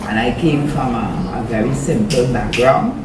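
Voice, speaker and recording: A woman's voice: very deep voice, normal speed. An older woman with a Trinidadian accent, telling her story plainly in a stage interview. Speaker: interview guest. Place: event stage. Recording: roomy, distant, noisy event recording.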